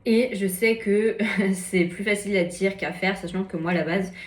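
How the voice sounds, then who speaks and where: A woman's voice: medium pitch, moderate pace, reverberant. A woman in her early thirties, chatting casually and upbeat as a vlogger, partly while cooking in her kitchen. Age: early 30s. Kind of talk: casual vlog talk to camera. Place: home kitchen.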